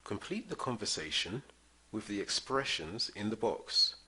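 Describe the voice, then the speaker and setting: A man reading out English phrases slowly for learners to listen and repeat, as a language-lesson teacher. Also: fairly low pitch, expressive, bright, forceful tone, slightly rough, low-quality recording.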